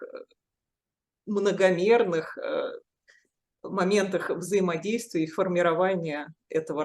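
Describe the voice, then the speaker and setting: A woman's voice: fairly low pitch, a little mellow. Articulate woman interviewing a professor. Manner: articulate, speaks in long structured sentences. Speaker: interviewer, deputy editor of a journal.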